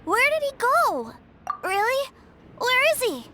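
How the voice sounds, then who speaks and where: Innocent, eager small boy, played by a woman, very high childlike game voice, animated and wide-eyed. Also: takes it slowly, heavy background noise, exaggerated voice. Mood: puzzled. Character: eager, innocent little boy who adores his brother. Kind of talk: video game voice acting. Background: music.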